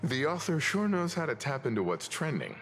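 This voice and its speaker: A man playing a composed, genial travel companion in an anime-style video game, speaking in a measured, informative, polite way. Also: a little high, very animated, fairly bright tone. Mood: calm, genial. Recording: music behind the voice.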